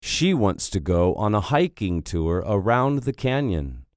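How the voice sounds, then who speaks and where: A man narrating English textbook listening tracks in a slow, clearly spoken way. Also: on the low side, sing-song, a little mellow, no echo, clean studio sound. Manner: slow, clearly articulated announcing.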